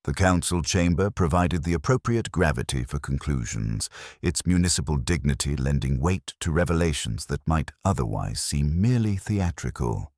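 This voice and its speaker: Extremely deep-voiced male mystery narrator. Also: animated, normal speed, a little mellow, no echo, studio quality. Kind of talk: scripted mystery story narration.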